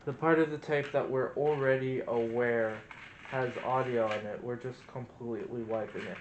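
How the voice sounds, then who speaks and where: A man's voice: mid-range voice, fairly even intonation, moderate pace, dull audio. A man presenting a casual hands-on experiment, thinking aloud as he demonstrates. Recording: roomy echo, noticeable background noise.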